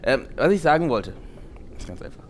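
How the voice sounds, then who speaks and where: Grumbly middle-aged husband, retired ghost hunter, played by a man: halting, deadpan, lively pitch, amateur audio play. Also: a little hiss or hum, close to an everyday voice. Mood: confessing.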